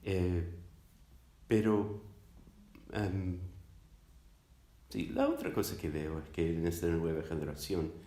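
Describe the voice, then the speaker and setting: A man from the United States, a native English speaker, answering interview questions as a scholar in a halting, thoughtful way, searching for words. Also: sits low, warm tone. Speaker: interviewed academic, literature scholar. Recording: roomy, echoing sound.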